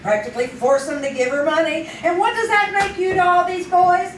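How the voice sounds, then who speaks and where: High-pitched woman playing a wry girl reporter, echoey. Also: fairly even intonation, brisk. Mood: needling, wry. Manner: teasing, knowing, pointed. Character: wry, catty, sharp-tongued female police-desk reporter. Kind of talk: old-time radio drama acting, performed live. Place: theater stage, performed live. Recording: distant, echoey live stage, faint noise.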